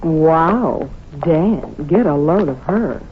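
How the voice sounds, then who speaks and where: An actress playing a sassy, wisecracking secretary in an old-time radio detective drama, with sardonic, slangy delivery. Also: female voice, deep voice, expressive, very slow, full character voice. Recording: tinny, hissy vintage radio recording.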